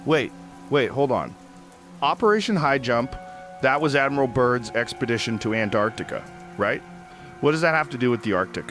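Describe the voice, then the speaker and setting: An actor as probing male talk show host, medium pitch. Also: expressive, normal speed, fairly bright tone, faint music behind, close to an everyday voice. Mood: skeptical. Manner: probing, conversational. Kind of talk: talk show interviewing in audio drama.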